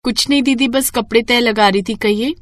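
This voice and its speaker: A woman's voice: on the high side, fairly even intonation, moderate pace, dry recording, pitched above the performer's own voice, natural voice. A woman voicing a meek young daughter-in-law in a cartoon story, polite and plaintive.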